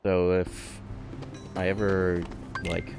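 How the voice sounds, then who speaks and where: A man's voice: sits low, monotone, slow, vocal fry. A man streaming a game, thinking aloud in casual, analytical commentary with slangy swearing. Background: music behind the voice.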